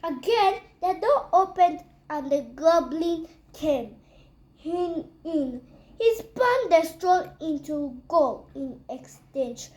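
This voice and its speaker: A high, childlike voice reads a fairy tale aloud for children. The delivery is very slow and halting, with words repeated and corrected along the way. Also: animated, a little mellow. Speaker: storyteller reading aloud.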